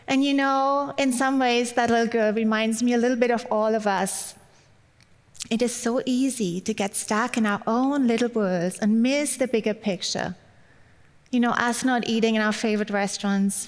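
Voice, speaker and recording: A woman with a German accent delivers a warm, chatty Mother's Day sermon to a congregation. Her voice sits a little high for a woman. It carries a long reverb from the hall around her. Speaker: guest speaker.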